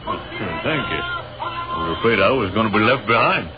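Man playing a modest American captain, varied pitch. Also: lively intonation, normal speed, music in the background, close to an everyday voice. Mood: grateful, hesitant. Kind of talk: 1930s radio drama acting. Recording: dull, noisy 1930s radio recording.

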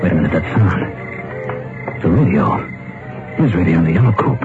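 A terrified husband sure a killer waits outside, speaking in a restless, feverish monologue that swings widely up and down. The old broadcast sounds muffled, with music under it. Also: male voice, sits low, expressive, brisk. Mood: panicked. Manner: nervous, feverish inner monologue. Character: frightened husband convinced a hired killer stalks him. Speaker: radio drama lead. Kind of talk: 1950s radio drama acting. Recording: dull, hissy old radio broadcast with music.

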